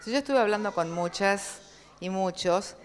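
A woman from Córdoba with an Argentine accent, telling and reading a story aloud at a book launch, slow and expressive. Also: mid-range voice, a little hiss or hum. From Córdoba province, Argentina. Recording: reverberant hall.

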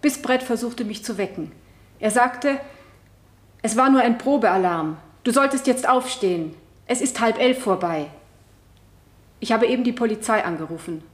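A woman's voice: a little high, animated, normal speed, pauses often, natural voice. A woman playing a shaken wife on the witness stand in a 1970s German radio drama. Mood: hesitant, defensive. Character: shaken wife testifying at her husband's murder trial. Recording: roomy radio play studio sound.

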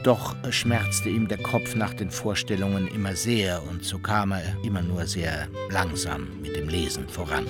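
A man's voice: low-pitched, a little slow, few pauses, over loud music. A man reading scripted literary narration as the storyteller of a German radio drama.

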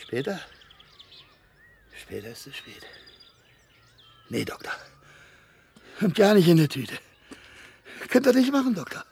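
An actor playing a stubborn, folksy old husband with a gravelly voice and dialect in a radio drama. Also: male voice, sits high, wildly swooping pitch, a little slow, pauses often, a little mellow, full character voice. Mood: desperate protest. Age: older. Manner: colloquial dialect grammar, repeating himself, protesting. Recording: radio play with faint ambient noise.

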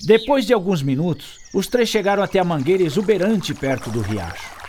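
A man narrating a scripted children's story in an expressive storytelling style. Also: high-pitched, a little fast, a little hiss or hum. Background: music.

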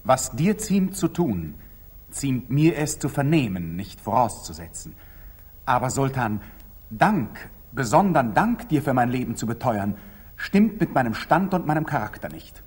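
A proud young crusader knight with a husky voice in a vintage radio play. He speaks formal verse with earnest, brooding intensity and a hot-tempered edge. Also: medium pitch, big pitch swings, a little slow, darkish tone. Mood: proud, reserved. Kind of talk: 1940s German radio drama acting, classical stage verse. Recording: slightly muffled 1940s radio studio recording.

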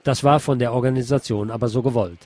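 A man reads a printed news report aloud for his radio show. His delivery is steady and measured, with a voice of middling pitch. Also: no room sound. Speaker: radio host reading a news article.

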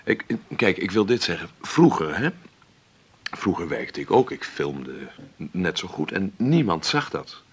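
An actor playing a wry, stubborn middle-aged film maker who talks haltingly and conversationally in a radio play interview. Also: male voice, low voice, big pitch swings, husky, exaggerated voice. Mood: musing, modest. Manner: conversational, halting, adds tag questions. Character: celebrated 53-year-old film maker, wry, stubborn, self-made. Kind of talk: radio drama played as an interview.

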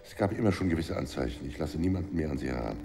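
An actor playing a controlling, protective middle-aged husband in a German radio drama, firm, formal and soothingly patronising. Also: male voice, a bass voice, lively intonation, rapid-fire. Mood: protective, grave.

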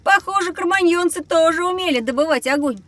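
A woman's voice: extremely high pitch, fairly quick, fairly bright tone, no echo, recorded from a distance. A woman playing a curious, cheeky child who observes and questions things in an educational audio drama, recorded slightly away from the microphone. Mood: curious, lively. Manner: inquisitive, observant.

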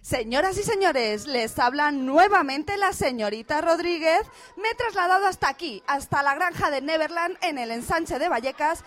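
Woman playing very high-pitched, flustered lady reporter. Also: expressive, normal speed, a bit bright. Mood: excited. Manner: breathless exclamations, stammering, exasperated repetition. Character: flustered, excitable young lady radio field reporter. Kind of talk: radio theatre parody of live news report.

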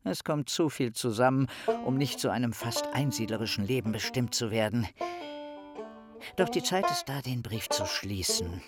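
An actor playing an earnest, awkward, high-minded young male professor in a radio play, with formal and pompous diction. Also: lively intonation, normal speed, over music, lightly acted. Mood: solemn, exalted. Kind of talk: German radio play acting and letter reading.

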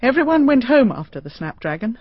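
An actress playing a chatty, easily alarmed middle-aged crime novelist in a radio drama. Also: female voice, fairly high pitch, big pitch swings, brisk, breathy edge, dry recording. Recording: dull archive radio recording.

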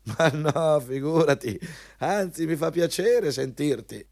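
An actor playing a cynical, vulgar, bullying company executive with a scornful, overbearing manner in a radio drama. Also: male voice, fairly high pitch, expressive, slow, a little mellow, dry recording, clean studio sound. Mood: chummy, boastful.

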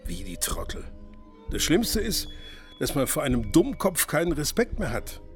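An indignant character in a radio drama. His slightly high voice moves widely in pitch, exasperated and scornful, over faint music. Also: big pitch swings, normal speed. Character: indignant man scornful of fools. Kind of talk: German radio drama acting.

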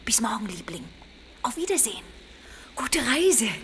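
Radio drama: cool female crook, higher-pitched, teasing, hushed, over clearly noisy ambience. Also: big pitch swings, moderate pace. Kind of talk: German crime radio drama acting. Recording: noisy station ambience behind voice.

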